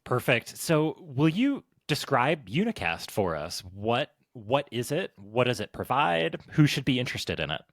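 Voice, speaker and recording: A male podcast host reads his opening with bright, emphatic energy, then moves into friendly interview questions. His mid-pitched voice sits close to the mic, dry and free of room echo. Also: sing-song, a little slow, hardly any pauses. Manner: upbeat, emphatic delivery. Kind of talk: scripted intro and interview questions.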